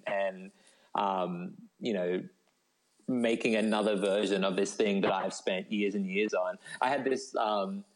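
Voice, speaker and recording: A man's voice: lowish voice, low-quality recording. A man talking casually as an interview guest, enthusiastic and rambling, with lots of informal "like". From Australia. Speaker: novelist being interviewed as a guest.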